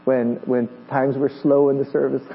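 A man's voice: medium pitch, steady tone, takes it slowly, gravelly. A man in his fifties from Hawaii, a visiting pastor preaching a sermon in a friendly, joking way, with many fillers and restarts. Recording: dull lo-fi church recording with mains hum.

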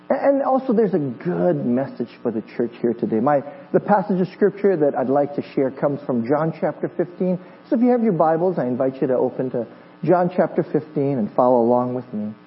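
A man in his fifties from Hawaii, a visiting pastor preaching a sermon in a friendly, joking way, with many fillers and restarts. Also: on the high side. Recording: dull lo-fi church recording with mains hum.

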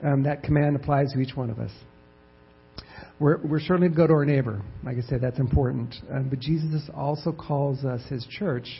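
A soft-spoken man speaks from a church with a gravelly, husky voice and a halting, repetitive delivery. The sound is dull and lo-fi, like an older church recording. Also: mid-range voice. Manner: halting, with many fillers and repeated words. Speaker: church missions president. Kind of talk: lay sermon with scripture reading. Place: church sanctuary.

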